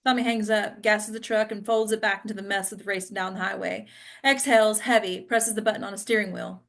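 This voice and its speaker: A woman's voice: mid-range voice, fairly even intonation, brisk, vocal fry. A woman reading screenplay action lines as scripted narration at a table read. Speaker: stage directions reader.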